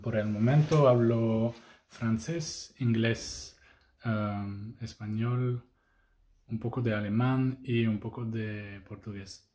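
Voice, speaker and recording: Man, 26, slow deliberate delivery. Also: on the low side, mellow, a little hiss or hum. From Switzerland. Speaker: interviewee.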